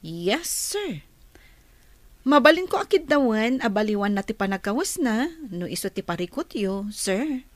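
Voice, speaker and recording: A woman's voice: medium pitch, very animated, a little slow, dry recording. A woman with an Ilocano accent playing a prying, catty office superior with a pointed manner in a radio drama. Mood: prying, puzzled.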